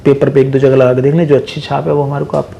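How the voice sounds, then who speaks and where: A man's voice: mid-range voice, level tone, quick pace, creaky, mellow, lo-fi sound, slight background noise. A man giving emphatic, instructive astrological advice as an interview guest, mixing in English phrases. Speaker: astrologer and palmist, programme guest. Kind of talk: advisory explanation in a studio interview.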